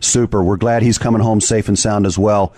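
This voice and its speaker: Deep-voiced male radio host. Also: fairly even intonation, a little fast, mellow, dry recording. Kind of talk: conversational radio interview.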